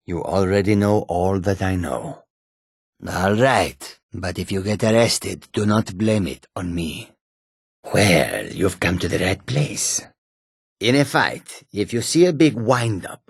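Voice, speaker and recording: An actor playing a shrewd, proud cat-folk warrior and trader in a fantasy video game, with a husky, rasping, theatrical delivery. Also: male voice, low voice, no room sound, clean studio sound, full character voice. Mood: wry, musing.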